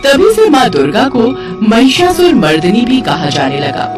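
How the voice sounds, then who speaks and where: A woman's voice: a little high, huge pitch swings, normal speed, recorded from a distance, over music. A woman narrating a scripted mythological tale in a dramatic storytelling style.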